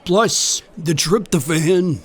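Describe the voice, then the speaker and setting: A man's voice: fairly high pitch, slow, husky. A man doing a folksy hotline guy. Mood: easygoing. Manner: laid-back, folksy. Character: easygoing turkey hotline helper. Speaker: hotline operator.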